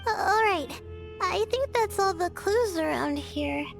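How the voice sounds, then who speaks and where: A woman voicing a gentle, studious, hesitant young girl scholar in a video game, speaking thoughtfully. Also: extremely high pitch, expressive, unhurried, gravelly, full character voice. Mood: cautious. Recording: music behind the voice.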